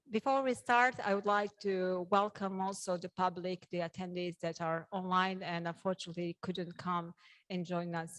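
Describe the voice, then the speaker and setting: A woman with a Croatian accent moderating a conference session and addressing the audience, speaking hesitantly with frequent pauses. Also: on the low side.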